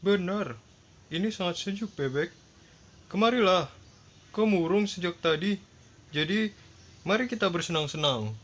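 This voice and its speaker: A man's voice: high voice, expressive, unhurried, pauses often. A young man voicing a cheerful, reassuring elephant, a loyal friend in a puppet-show fable. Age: young adult.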